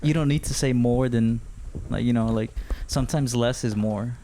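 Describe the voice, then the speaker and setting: A man's voice: medium pitch, moderate pace, mellow, slight background noise. A man in his early twenties, a guest on a podcast, talking in an easygoing, laid-back way. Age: 20s. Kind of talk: casual chat.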